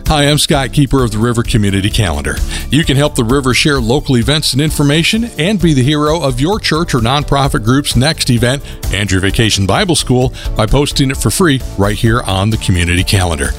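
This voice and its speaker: A man announcing community events on the radio, reading a script in an upbeat, clear way. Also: mid-range voice, expressive, fairly quick, faint music behind.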